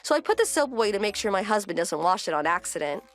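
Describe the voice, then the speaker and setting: A woman's voice: medium pitch, big pitch swings, fast talker, soft background music, lightly acted. A woman playing a composed, wry wife who narrates her domestic story in a scripted manga dub. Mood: matter-of-fact.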